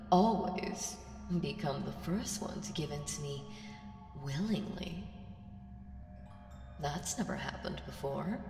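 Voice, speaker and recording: A woman voicing an ancient evil entity in an ASMR audio roleplay, smug, seductive and condescending, with a teasing delivery that slips into breathy whispers. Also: low voice, full character voice. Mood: coaxing, condescending. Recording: echoey hall reverb, distant, music behind.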